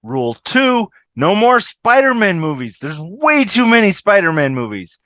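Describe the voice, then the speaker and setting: A man's voice: high voice, wildly swooping pitch, normal speed, a bit bright, dry recording, clean studio sound, full character voice. A man in an amateur table read over a video call, playing a brash, selfish, sarcastic woman with snarky emphasis. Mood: defiant.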